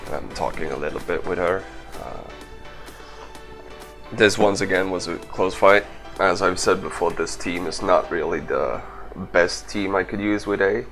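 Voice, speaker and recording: A man with a very low voice commentates on gameplay in an offhand, casual way. His speech is full of gravelly fry, and music plays clearly behind him. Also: a bit bright. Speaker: gaming video creator and event organiser.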